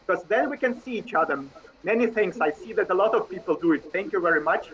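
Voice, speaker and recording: A man's voice: high voice, animated, fairly bright tone. Polite man on a video call. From Russia. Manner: polite, halting, with fillers. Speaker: workshop presenter, math teacher. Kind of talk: online workshop talk. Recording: dull video call audio with faint noise.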